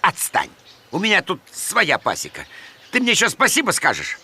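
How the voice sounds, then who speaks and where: A man voicing an excitable, stubborn, scheming polar bear in a children's cartoon, exclaiming and muttering theatrically. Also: high-pitched, moderate pace, a little hiss or hum, heavily acted. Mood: stubborn, dismissive. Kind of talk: animated children's cartoon acting.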